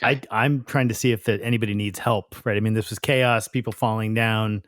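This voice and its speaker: A man's voice: lowish voice, steady tone, fairly quick, a bit bright, dry recording, studio quality. Man playing a tabletop game, talking casually.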